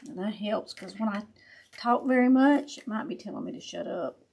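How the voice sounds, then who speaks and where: A woman with a raspy voice, chatting casually with viewers as she hosts a live craft tutorial. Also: medium pitch, normal speed, darkish tone. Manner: chatty, laughs often, talks to viewers as y'all.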